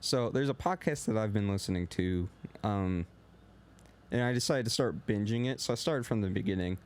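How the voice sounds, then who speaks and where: Young male co-host, lower voice, loud and rambling, casual podcast chat. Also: normal speed, clean tone. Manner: loose and rambling, heavy on filler words; talks a little loud.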